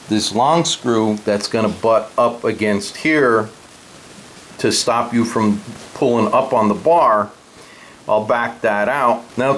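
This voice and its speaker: A man narrating a casual do-it-yourself tutorial in a plainspoken, informal way, explaining things step by step. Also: medium pitch. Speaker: host of a DIY guitar how-to video.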